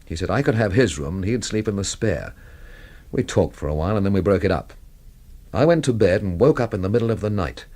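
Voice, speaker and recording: An actor playing a wry, cynical investigator from London in a radio drama, with a dry, sardonic manner. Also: male voice, fairly low pitch, lively intonation, fairly quick, warm tone. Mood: matter-of-fact. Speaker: lead actor and first-person narrator. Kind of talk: radio drama narration and dialogue.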